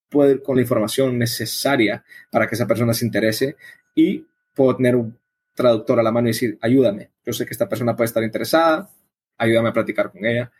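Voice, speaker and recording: Casting: male interview guest, husky mid-range voice, explanatory and conversational delivery. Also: medium pitch. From El Salvador. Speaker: interview guest, coffee event organizer.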